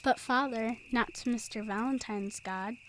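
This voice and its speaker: A female performer playing a gentle, devout young girl in an audio drama, speaking politely and carefully in old-fashioned phrases. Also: on the high side, normal speed, slight background noise, lightly acted. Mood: polite, questioning.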